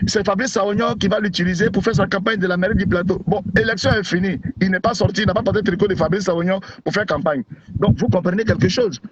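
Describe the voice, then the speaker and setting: A man, an outspoken blogger talking emphatically and repeating himself as an interview guest over a call. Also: sits high. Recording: dull lo-fi call audio with noise.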